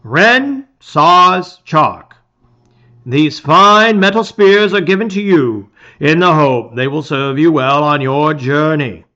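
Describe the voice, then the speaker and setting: A man voicing a proud father and village chief in a storybook, speaking slowly and ceremonially. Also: fairly high pitch, animated, pushed and bright, muffled recording, heavily acted. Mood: solemn, proud. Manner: ceremonial, slow, declaiming. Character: father, solemn village chief addressing young graduates. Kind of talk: storybook read-aloud.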